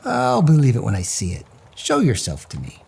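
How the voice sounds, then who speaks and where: A man's voice: medium pitch, wildly swooping pitch, normal speed, vocal fry, a little mellow, a little hiss or hum, heavily acted. A man voicing a brave, clever storybook hero who defies a goblin with taunting, unafraid nonchalance. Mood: dismissive.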